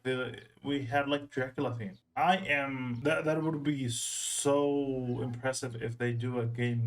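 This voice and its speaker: Male reaction host, medium pitch, casual, excitable, repetitive mid-thought, gaming commentary.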